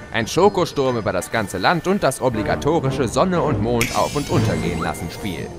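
Guy doing a smug chaos villain for audio drama, super animated, mocking, music behind. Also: fairly low pitch. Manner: mocking, gloating, theatrical. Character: gleeful, theatrical male chaos spirit, mocking villain. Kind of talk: audio drama narration in character.

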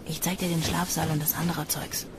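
An actress playing a jaded, streetwise young female recruit in a radio drama, sarcastic and offhand, with a creaky voice. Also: low voice, little pitch movement, a little fast, very noisy, close to an everyday voice. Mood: weary sarcasm. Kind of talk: casual radio drama dialogue.

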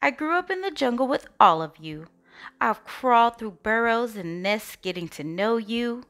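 A woman's voice: a little high, expressive, moderate pace, fairly bright tone. A woman voicing a popular female snake in a storybook, self-assured and reassuring as a candidate. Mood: confident, ingratiating. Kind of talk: storybook read-aloud.

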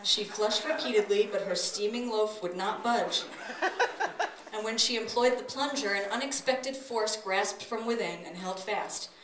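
A woman's voice: mid-range voice, a little slow, fairly bright tone. A woman doing a live comic story reading in a storybook narrating manner, recorded from the audience in an open-sided wooden pavilion. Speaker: author reading own work aloud. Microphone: distant audience camera. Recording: echoey pavilion, distant noisy camera audio.

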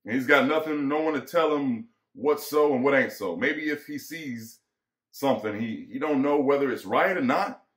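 A man's voice: medium pitch, normal speed, fairly bright tone, close to an everyday voice. A young man playing a lonely, bitter ranch hand who needles and broods, in a dramatic reading. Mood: brooding. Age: young adult. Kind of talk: dramatic reading of a novel's dialogue. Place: small indoor home room, webcam at desk distance. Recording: roomy, echoing home recording.

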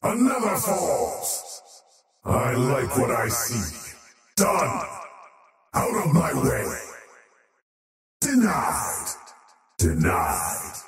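A man's voice: medium pitch, wildly swooping pitch, drawn-out words, vocal fry, long pauses, fairly bright tone, full character voice. A man voicing a faceless alien time-lord for a video game, cold, haughty and menacing, speaking in pompous, clipped pronouncements with an otherworldly echoing effect. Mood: haughty, dismissive. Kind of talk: video game character voice lines. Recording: heavily echoing, distant voice over music.

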